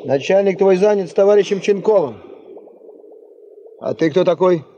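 An actor playing a folksy, know-it-all gold-panning camp hand in a radio play, teasing and colloquial. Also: male voice, high voice, moderate pace, warm tone, a little hiss or hum, natural voice. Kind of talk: 1970s Soviet radio play acting. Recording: dull 1975 radio play recording.

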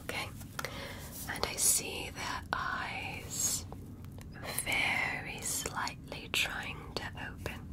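A woman softly whispering an ASMR medical roleplay as a gentle alien examiner, speaking slowly and reassuringly up close. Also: rough recording.

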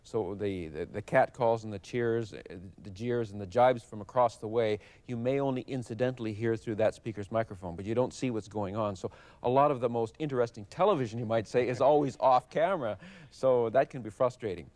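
A man's voice: mid-range voice, fairly quick, few pauses, darkish tone, no room sound. A middle-aged man answering interview questions thoughtfully, with hesitations and restarts. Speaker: member of parliament interviewed.

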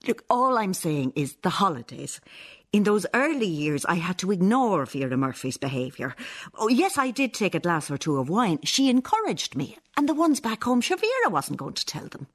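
An actress with an Irish accent playing a stern, bitter, defensive older nun in a radio play, sounding accusing and sanctimonious. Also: female voice, fairly low pitch. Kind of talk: radio play acting, monologue and dialogue.

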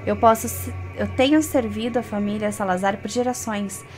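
A woman's voice: mid-range voice, moderate pace, a little mellow. A young adult woman with a Brazilian accent giving casual, playful commentary as she streams gameplay. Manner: playful, talks to the game character and viewers. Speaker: gaming streamer. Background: game music.

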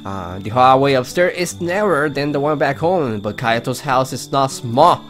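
A man's voice: medium pitch, animated, moderate pace, fairly bright tone. A man hosting a let's play, reading game text aloud with casual commentary. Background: game music.

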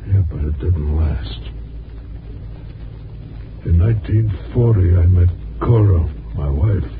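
An actor playing a bitter, weary, washed-up newspaperman on his deathbed, speaking weakly and haltingly in a 1950s radio drama. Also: sits low. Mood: weary, wistful. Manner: halting, broken phrasing. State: weak, failing, halting. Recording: noisy, tinny 1950s radio recording.

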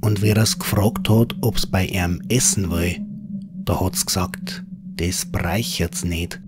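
Male Bavarian storyteller for dialect horror tale; deep register, folksy delivery, audible ambience behind. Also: steady tone, slow pace, very noisy.

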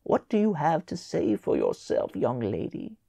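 A man reading a storybook voices a stern, furious mother scolding her daughter, loud and emphatic. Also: fairly high pitch, wildly swooping pitch, a little slow, gravelly, slightly rough, no echo, full character voice.

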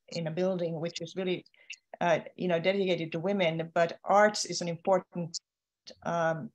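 A woman's voice: low voice, rough recording. A woman, introducing herself on a video call, hesitant with many ums and restarts. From Finland. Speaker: community organization leader. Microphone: video call, laptop camera.

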